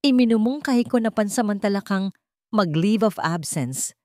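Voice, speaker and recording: A woman voicing a scheming older businessman father in an audio story, formal and measured, with a Filipino accent. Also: medium pitch, expressive, darkish tone, no echo, clean studio sound, pitched above the performer's own voice, natural voice. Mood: calm, calculating.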